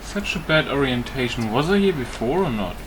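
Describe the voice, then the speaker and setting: A man's voice: mid-range voice, animated, a little fast, background noise. A man giving casual let's play commentary, muttering to himself and swearing offhand, with game music behind him. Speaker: gameplay streamer.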